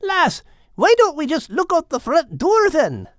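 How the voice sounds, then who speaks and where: A man voicing small, gentle storybook animals with an animated, lilting delivery. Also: very high-pitched, a little slow, dry recording, clean studio sound, pitched above the performer's own voice, full character voice. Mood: curious, gentle.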